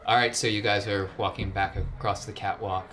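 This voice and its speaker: Low-voiced man running a tabletop game. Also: little pitch movement, normal speed, fairly bright tone, a little hiss or hum. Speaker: game master. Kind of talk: casual tabletop game narration.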